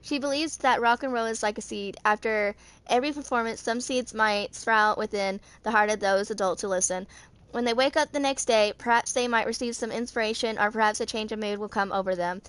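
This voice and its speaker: A woman in her mid twenties with a fairly high voice reads lore aloud as an amateur narrator. Her delivery is halting, and she trips on words and goes back over them. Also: fairly bright tone, smooth. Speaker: video creator reading game character lore.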